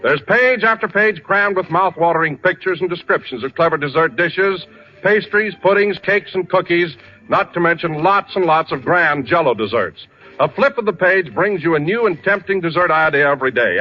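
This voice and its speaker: A man's voice: on the high side, expressive, moderate pace. A man announcing a scripted 1940s radio commercial in a polished, persuasive way. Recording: muffled 1940 radio broadcast.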